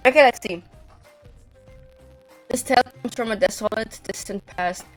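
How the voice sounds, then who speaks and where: A woman's voice: on the high side, normal speed, soft background music, rough recording. A woman streaming a video game live, speaking informally and bluntly, sometimes reading aloud.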